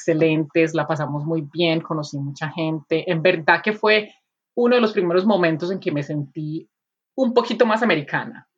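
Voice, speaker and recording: A young woman with a Colombian accent chats on a podcast, telling stories with lively ease. Her voice is fairly low and husky. The recording sounds dull and muffled. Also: moderate pace. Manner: chatty, animated storytelling. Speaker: podcast co-host. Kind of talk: casual podcast chat.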